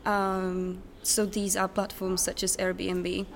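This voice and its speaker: A woman's voice: mid-range voice, monotone, slow. Young woman, hesitant, faint venue noise. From Czech Republic. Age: young adult. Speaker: interview guest, recent graduate. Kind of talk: conversational interview answers. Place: conference center. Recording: background noise at conference venue. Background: ambient noise, distant sound check.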